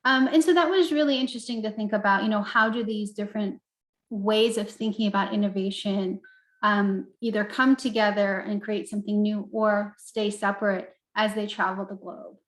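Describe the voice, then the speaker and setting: A middle-aged woman from Turkey, a professor answering interview questions in a thoughtful, measured way with frequent pauses. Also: medium pitch, fairly even intonation. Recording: roomy echo.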